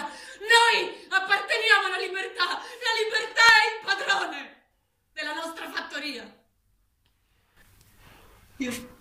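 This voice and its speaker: A woman's voice: very high voice, bright, forceful tone, heavily acted. An actress playing a female farm animal who leads a revolution, declaiming solemnly like a manipulative preacher in a stage play. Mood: fervent, exalted. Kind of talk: theatrical stage monologue, political oratory. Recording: echoey stage, distant mic, faint noise.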